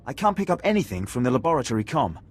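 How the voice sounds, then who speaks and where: An actor playing a brisk, alert imperial communications officer in a video game, with a military manner. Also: male voice, mid-range voice, very animated, slight background noise, natural voice. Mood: brisk, formal.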